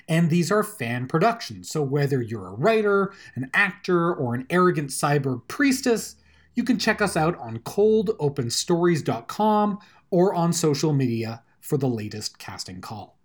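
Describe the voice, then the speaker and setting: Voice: mid-range voice, sing-song, normal speed. An audio drama host delivering a scripted outro with credits, addressing listeners directly.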